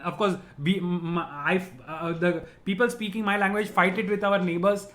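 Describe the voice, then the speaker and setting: A middle-aged Indian man with a high-pitched voice, teaching a live online quiz class. He speaks English with an Indian accent over his native Tamil. He repeats each question, explains every term with patience and laughs easily with his students. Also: fairly even intonation, normal speed, a bit bright, roomy sound. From Tamil-speaking family, raised in Gujarat.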